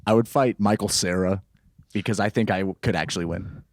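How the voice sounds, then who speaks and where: A man's voice: lowish voice, steady tone, a little fast, dry recording, clean studio sound. A young American man in a band interview, chatting casually in a laid-back, joking way. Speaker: band musician being interviewed. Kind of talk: casual chat.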